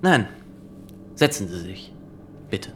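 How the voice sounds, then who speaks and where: An actor playing a stiff, suspicious inspector in a radio play, speaking formally and with control. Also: male voice, low voice, sing-song, slow pace, slight background noise, heavily acted. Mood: stern, controlled. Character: stiff, suspicious young male inspector of a totalitarian regime.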